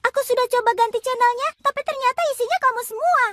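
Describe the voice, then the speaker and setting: A woman's voice: very high voice, fast talker, fairly bright tone, no room sound. A woman voicing a small female cartoon robot cat, a helpful and sensible little sister explaining things. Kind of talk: animated cartoon dub acting. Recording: music behind the voice.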